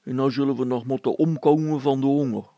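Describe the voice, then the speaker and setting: A man's voice: medium pitch, fairly even intonation, fairly quick, dark tone, no room sound, highs cut off, natural voice. A man voicing a gloomy, whining village policeman in a storybook, plaintive, grumbling and hesitant.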